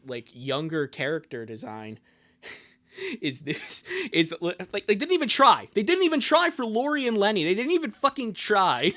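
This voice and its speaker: A streamer with a bright voice and very lively pitch, chatting casually to viewers. The commentary is joking and loose, with apologetic asides. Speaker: streamer reading a fan comic aloud.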